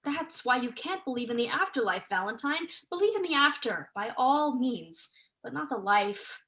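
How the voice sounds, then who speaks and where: A student actress as a sharp, sceptical woman scholar. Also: a little high, sing-song, moderate pace. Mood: impassioned. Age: young adult. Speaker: student actor. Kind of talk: staged play reading.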